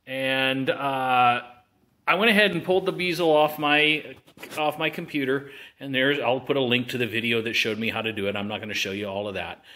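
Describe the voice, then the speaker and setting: A man's voice: medium pitch, steady tone, moderate pace, pushed and bright. A man at a home table filming a casual how-to on his phone, talking in a folksy, rambling way with jokey asides. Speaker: hobbyist showing a laptop repair. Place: home dining room at a table.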